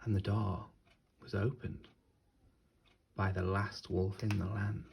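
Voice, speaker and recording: A man narrating a children's storybook like a teacher reading aloud, deliberately and with dramatic pauses. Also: on the low side, little pitch movement, gravelly, a little mellow, slight background noise.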